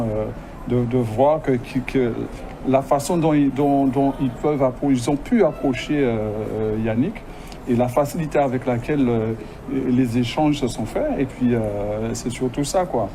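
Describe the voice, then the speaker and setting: A man's voice: medium pitch, mellow, a little hiss or hum. A man from the school staff, speaking hesitantly and stammering through restarts.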